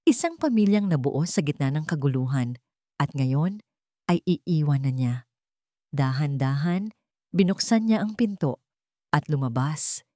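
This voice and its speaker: A woman's voice: deep voice, darkish tone, no room sound, clean studio sound. A woman reading a scripted dramatic story as its narrator.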